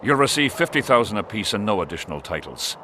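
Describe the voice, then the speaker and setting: A man's voice: on the low side, very animated, fast talker, background noise. An actor playing a domineering, scheming middle-aged warrior king in a video game, with a commanding, sardonic manner. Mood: menacing. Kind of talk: dramatic video game acting.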